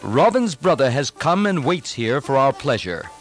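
A man voicing a calm, dignified princely leader, speaking with measured, courteous authority in an epic story. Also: medium pitch, faint music behind. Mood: calm, deliberative.

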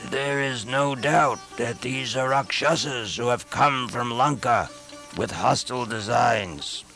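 A man playing a stern, suspicious monkey king and commander, speaking gravely and deliberately with a gravelly voice in an epic story. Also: mid-range voice, bright, forceful tone, faint music behind, full character voice. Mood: grave alarm.

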